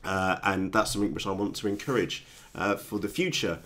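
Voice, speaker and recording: A man with a lowish voice hosts a relaxed hobby chat. He sounds stuffed up and congested from a cold. He rambles, stops and restarts, and fills his talk with ums. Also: a little fast, heavy background noise. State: congested with a cold, blocked nose. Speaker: host of a hobby chat show. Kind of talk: casual live-stream chat.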